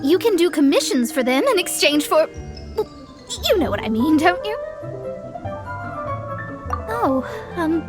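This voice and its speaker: High, dramatic young female astrologer. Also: sing-song, slow pace, long pauses, music in the background, full character voice. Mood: flustered. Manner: theatrical, haughty, easily flustered. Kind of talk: video game voice acting.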